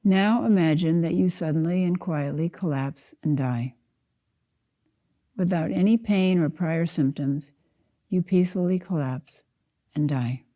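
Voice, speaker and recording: An older woman leading a guided meditation as a Zen teacher, speaking in a calm, unhurried, measured way with spaced-out phrases. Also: fairly low pitch.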